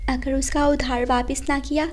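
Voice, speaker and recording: Woman as a high-voiced, earnest mother bird. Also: flat delivery, normal speed, close to an everyday voice. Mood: worried. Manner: earnest, polite. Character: gentle, worried mother sparrow.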